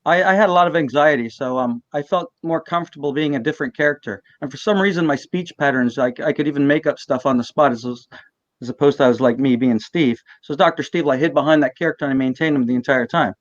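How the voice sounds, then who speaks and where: A man with a slightly high voice talks very quickly in a candid, informal chat. The audio is dull and lo-fi, like a call, with little room sound. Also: dry recording. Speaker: guest, costumed convention character performer. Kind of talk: casual chat.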